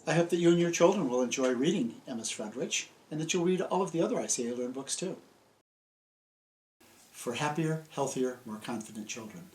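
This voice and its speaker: A man's voice: mid-range voice, lively intonation, a little fast, a little mellow, rough recording. An older American man, a children's book author, presenting and telling stories to young children in simple, emphatic phrases. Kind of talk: friendly scripted presentation and storytelling for young children. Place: small book-lined room indoors.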